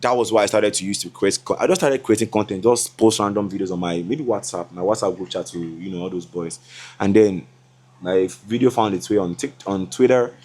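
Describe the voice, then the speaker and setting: A man's voice: lowish voice, brisk, darkish tone, breathy edge. A man in his twenties with a Nigerian accent talks casually to camera as a content creator, chatty and laughing, with Yoruba asides. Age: 20s. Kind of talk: casual vlog talk to camera.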